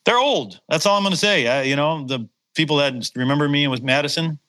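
A man's voice: a little high, expressive, fairly quick, bright, forceful tone. A middle-aged man from Wisconsin, a guitarist with a slight Upper Midwest accent, chatting casually in a rambling, self-deprecating way with many restarts. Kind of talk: casual chat.

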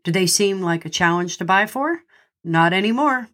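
A middle-aged woman hosting her podcast, a little nasal and congested from a cold, talking in a friendly way and reading out lists with pauses between the items. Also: medium pitch, expressive, fairly bright tone. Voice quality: slightly nasal. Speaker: podcast host. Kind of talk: scripted podcast monologue.

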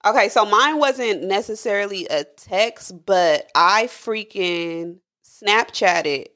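A woman's voice: medium pitch, slow, a bit bright. A woman of thirty hosting a podcast, asking questions and telling frank stories with frequent giggles. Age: 30s. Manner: frank, giggly, quizzes her guest. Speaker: podcast host. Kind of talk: casual chat.

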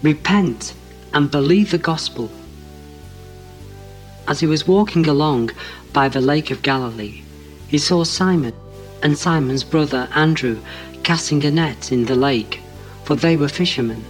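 A man's voice: fairly high pitch, a little slow, long pauses, soft background music. A man reading a Gospel passage aloud as a scripture reader.

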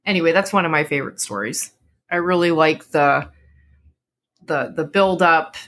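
A woman's voice: deep voice, moderate pace, fairly bright tone. A woman hosting a podcast, moving between dramatic story narration and casual chat. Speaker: podcast host and story reader.

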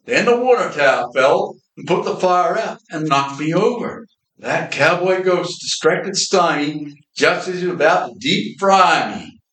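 A man acts out a world-weary supernatural detective from an old-time radio serial. His voice sits on the high side and carries dry wisecracks. The recording is amateur and noticeably roomy. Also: animated, measured pace, fairly bright tone. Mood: earnest, recounting.

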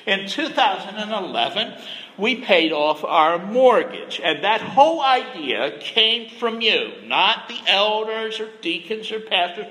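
A soft-spoken middle-aged pastor gives a gentle, measured sermon at a slow, unhurried pace. His voice sits a little high for a man and sounds bright and clear. It rings with the long reverb of a church sanctuary.

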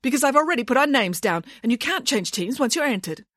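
A fussy, scheming nanny from a storybook, put on by a woman reader. Her voice sits high and swings about, prim and critical under a layer of false sweetness. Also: animated, quick pace, fairly bright tone, no room sound, clean studio sound. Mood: brisk, bossy. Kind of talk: children's storybook villain voice.

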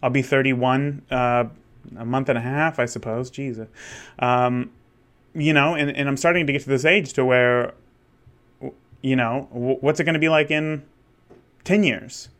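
A man's voice: medium pitch, steady tone, normal speed, pauses often, fairly bright tone. A man of thirty, a wedding photographer, talking candidly in a rambling solo monologue with many restarts. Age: 30s. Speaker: wedding photographer and podcast host.

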